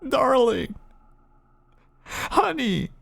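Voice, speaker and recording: A man plays a vampire husband who seems to be himself again, in an intimate audio roleplay. He speaks slowly and haltingly, with a soft, breathy rasp. His manner is gentle, sorry and pleading. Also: very high-pitched, very animated, heavily acted. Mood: desperate pleading. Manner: halting, stammering, tender.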